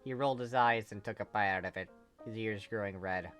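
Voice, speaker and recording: A narrator reading fan fiction aloud in an expressive storytelling style. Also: medium pitch, fairly quick. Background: background music.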